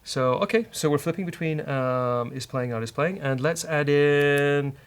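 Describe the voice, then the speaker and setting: A man's voice: a little high, unhurried, smooth. A man hosting a casual coding show, bantering with a co-host and thinking aloud as he works.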